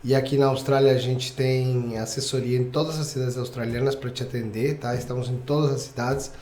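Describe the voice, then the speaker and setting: Upbeat host, echoey room. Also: male voice, mid-range voice, steady tone, brisk, darkish tone, a little hiss or hum. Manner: upbeat, promotional, addresses viewers. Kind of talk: casual interview chat.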